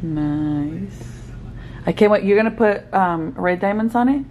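A woman's voice: medium pitch, measured pace, warm tone, slight background noise. A woman in her twenties chatting and laughing in casual, profane banter while filming herself close up in a hotel room. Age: 20s. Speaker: vlogger. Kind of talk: casual chat. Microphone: handheld camera close up.

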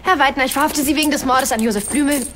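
An actress playing a brisk, self-assured policewoman in a TV crime comedy. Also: high-pitched, fairly bright tone, slight background noise. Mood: cool, official.

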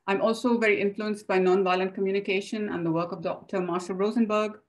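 A woman's voice: mid-range voice, fairly even intonation, quick pace. A middle-aged woman from India with an Indian accent, speaking informally as a co-presenter on a video call. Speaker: financial advisor, webinar co-presenter. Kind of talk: informal spoken self-introduction to a group. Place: home room with bookshelves, indoors.

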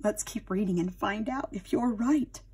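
A woman's voice: mid-range voice, moderate pace, darkish tone, breathy edge. A woman narrating a children's storybook expressively, dramatic and addressing young listeners like a teacher.

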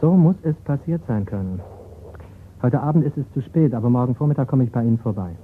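A man playing a patient, persistent investigator who questions in a measured way in a German radio crime drama. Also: mid-range voice, expressive, lightly acted. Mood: patient, insistent. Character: patient, probing male investigator. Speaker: actor. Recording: muffled, slightly noisy radio play recording.

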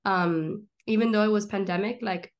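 A young woman in her twenties, born in Miami and raised in Argentina, telling her story as an interview guest. She talks in quick, lively run-on stretches, like someone gesturing as she goes. The sound comes through a laptop webcam on a video call from a small room at home. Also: medium pitch. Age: 20s. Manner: animated, gestures a lot, rapid run-on storytelling. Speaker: guest, comedian and filmmaker.

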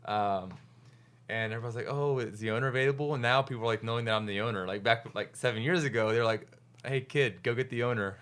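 A man in his thirties hosting a podcast from a small studio into a boom mic, chatting easily with laughs and trailing fillers. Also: quick pace, fairly bright tone, clear, resonant tone. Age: 30s. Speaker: podcast host, restaurant owner. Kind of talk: casual chat. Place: small studio office with monitors. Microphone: large studio mic on boom arm.

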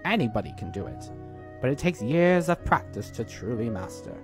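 A man plays a storybook mouse who keeps shop. He sounds calm, gentle and content, measured and wise. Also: medium pitch, music in the background, lightly acted.

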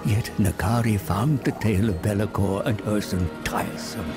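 An actor playing an old, cunning wandering seer, telling his tale gravely and dramatically in a game cinematic. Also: lowish voice, moderate pace, music in the background, close to an everyday voice. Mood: ominous storytelling. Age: older.

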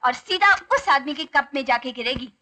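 A woman's voice: sits high, expressive, normal speed, bright, forceful tone. Woman playing a boastful bet-making friend. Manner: boastful and challenging. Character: young woman, the bold friend who makes bets.

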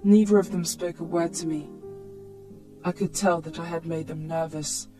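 A woman reading a scripted first-person drama with expressive, acted delivery. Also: lowish voice, normal speed, soft background music. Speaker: story narrator.

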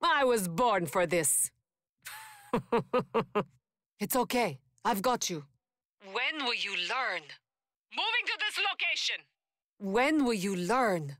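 A bright, tough soldier heroine. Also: female voice, on the high side, sing-song, slow, long pauses, no echo, studio quality, full character voice. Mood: confident, defiant. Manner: short, forceful battle callouts. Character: tough, muscular female soldier, confident and protective. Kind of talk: video game combat voice lines.

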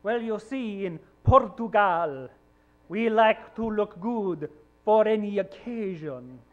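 A man puts on a dashing, defensive club owner. The voice is high, formal and suave, in old-time radio style. The stage recording sounds a little dull. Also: lively intonation, takes it slowly. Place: live theater stage.

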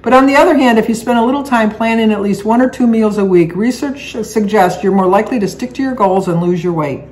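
A woman's voice: medium pitch, quick pace, hardly any pauses, darkish tone. Older woman vlogger, chatty home-video voice, seated at table camera. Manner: chatty, rambling with restarts and fillers. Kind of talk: casual chat. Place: living room at home, indoors. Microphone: camera on a table, seated facing it.

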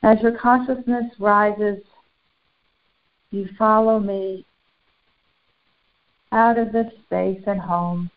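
Slow, phone-muffled woman guiding a meditation. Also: medium pitch, fairly even intonation. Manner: slow, deliberate, short phrases with long pauses; solemn, formal, ceremonial wording. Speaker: spiritual channeler and meditation guide. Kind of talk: guided meditation, channeled spiritual message. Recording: muffled, phone-like narrow band.

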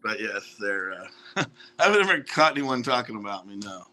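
A man's voice: lowish voice, lively intonation, brisk, bright, forceful tone. A middle-aged man in casual chat as a co-commentator, laid-back and wry. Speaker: livestream co-commentator. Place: video call.

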